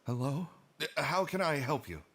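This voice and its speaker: An actor playing a flustered, guarded receptionist in a video game, hesitant and apologetic. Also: male voice, on the high side, big pitch swings, normal speed, fairly bright tone, heavily acted.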